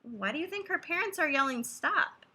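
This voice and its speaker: A woman reading a children's picture book aloud expressively, pausing to chat to her young listeners about the story. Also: high voice, background noise. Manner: animated, pauses to comment and ask listeners questions.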